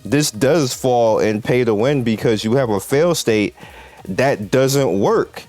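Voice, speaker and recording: A man's voice: medium pitch, expressive, takes it slowly, darkish tone. A man hosting a gaming video, giving casual, emphatic commentary and repeating his points as he addresses viewers. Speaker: host of a mobile game video channel. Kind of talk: casual gameplay commentary, talking to viewers and developers. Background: music.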